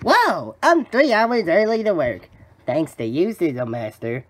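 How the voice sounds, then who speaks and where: Cartoon sponge fry cook, boyish, gullible, eager, hugely swooping pitch. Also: male voice, sing-song, measured pace, exaggerated voice. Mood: happy, trusting. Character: cheerful, gullible young male sea sponge fry cook. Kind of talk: cartoon fan voice acting.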